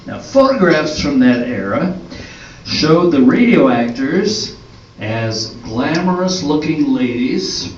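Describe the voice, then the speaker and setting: An older man presenting an amateur radio play on stage in a slow, scripted way. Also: a little high, very animated. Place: indoor hall at a live performance. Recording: roomy echoing hall, distant mic, dull, faint noise.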